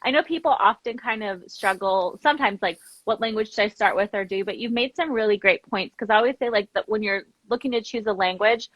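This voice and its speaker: A woman's voice: mid-range voice, brisk, few pauses, fairly bright tone, dry recording, highs cut off. A woman in her thirties from Los Angeles who founded a family language program, chatting over a video call and laughing often. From Los Angeles, USA. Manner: bubbly, laughs often, many "like" fillers.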